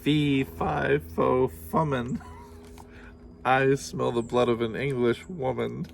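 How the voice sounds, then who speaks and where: A man voicing a huge, bellowing, man-eating male giant with a booming, gloating chant. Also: unhurried, slight background noise. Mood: menacing.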